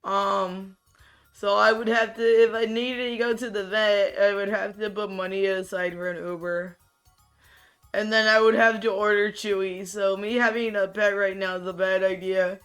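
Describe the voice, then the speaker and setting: A streamer chatting casually while playing a game, with a raspy, monotone voice that sounds tired and low-key, rambling and often restarting sentences. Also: moderate pace, soft background music.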